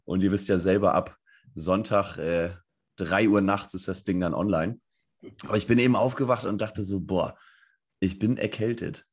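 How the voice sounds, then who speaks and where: A young man co-hosting a podcast in casual, joking chat, hoarse from a cold. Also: low voice, normal speed. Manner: joking, laid-back banter. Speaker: podcast co-host.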